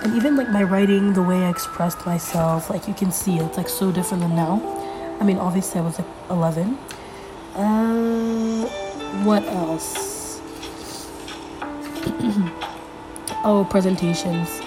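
A young woman hosting a casual solo podcast, a little congested from being sick, rambling with lots of fillers as she thinks out loud. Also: medium pitch, measured pace, pauses often, music in the background, poor audio. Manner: rambling, filler-heavy, thinking aloud while flipping pages. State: congested from a recent illness. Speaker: podcast host.

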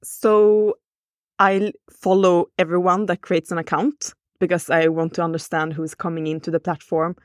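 A woman's voice: on the low side, flat delivery, moderate pace, no echo, studio quality. A woman, chatting casually on a podcast and thinking aloud with fillers.

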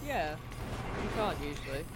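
A man with a high voice chats casually and jokes his way through film commentary as a co-host. Very loud film music and effects play behind him. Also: sing-song, moderate pace. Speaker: podcast co-host. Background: film music and sound effects.

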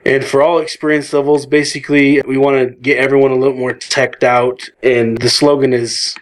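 A man on a podcast panel, chatting in a light, joking way and laughing often. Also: medium pitch. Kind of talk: casual podcast chat.

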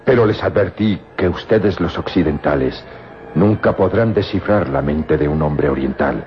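A man acting in an old-time radio drama as a suspicious, forceful Middle Eastern desert guide, speaking in emphatic declamation. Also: sits low, moderate pace, close to an everyday voice. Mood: grave warning. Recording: muffled old radio recording, loud music behind.

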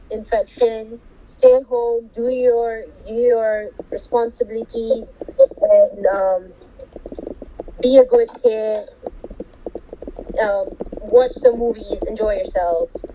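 A woman co-hosting a casual podcast from home over a call, talking loudly because of a bad connection and giving friendly advice. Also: a little high, slow, lo-fi sound, slight background noise. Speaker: podcast co-host. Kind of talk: casual chat.

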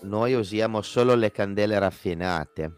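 A man acts out a grumbling duke from Piedmont with a fairly low voice and a Piedmontese accent. He rambles in a folksy, blunt way, like a noble scrounger in a costume radio farce. Music plays faintly underneath. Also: moderate pace, darkish tone, lightly acted. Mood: rambling, smug. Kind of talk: improvised costume radio comedy.

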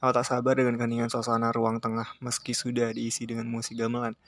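A man reads literary first-person narration aloud in a measured, scripted way. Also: mid-range voice, monotone, fairly bright tone. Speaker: audiobook reader.

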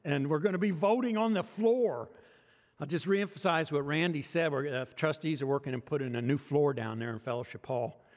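A man's voice: on the high side, fairly quick, a little mellow, long reverb tail. A man with an American accent preaching a sermon as pastor, talking conversationally and joking with the congregation. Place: church sanctuary.

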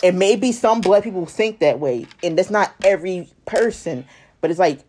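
An American podcast speaker in casual conversation, earnest and a little halting, repeating phrases to press a point. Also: mid-range voice, lively intonation, a little mellow, slight background noise.

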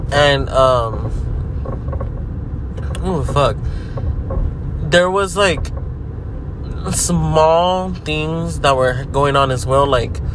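A man of twenty-five, slightly sick with a deeper voice than usual, hosting a casual vlog podcast while driving, rambling loosely with lots of swearing and fillers. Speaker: podcast host. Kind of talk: casual talking-to-camera vlog. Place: inside a car while driving. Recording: clear car noise behind. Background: car road noise.

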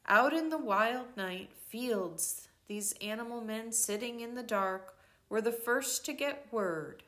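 An older woman reading a devotional book aloud in a gentle, measured way, pausing between phrases. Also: fairly high pitch. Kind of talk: slow reflective book reading.

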